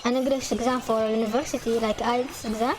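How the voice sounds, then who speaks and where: Young woman, native Arabic speaker, accented English, slightly high voice, casual halting gamer chat. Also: steady tone, noisy background. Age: young adult. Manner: halting, many restarts and fillers. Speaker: gaming channel host. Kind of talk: casual chat over gameplay.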